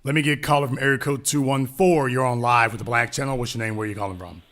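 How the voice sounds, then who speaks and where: A man's voice: mid-range voice, steady tone, fast, a bit bright, slight background noise. A man hosting a live call-in radio show, talking in a blunt, emphatic, slangy way.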